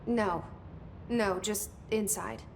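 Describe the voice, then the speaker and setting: A woman's voice: medium pitch, slow pace, a little hiss or hum, natural voice. An actress playing a guarded, determined young woman newly in charge in a video game, speaking tersely and directly. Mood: curious. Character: guarded, determined young female newcomer made agency director. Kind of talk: video game cutscene dialogue.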